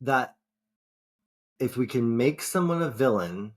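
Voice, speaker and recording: A man's voice: mid-range voice, animated, slow pace. A man co-hosting a podcast in casual chat, rambling and swearing, often restarting his sentences. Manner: rambling, profane, frequent restarts. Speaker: podcast co-host.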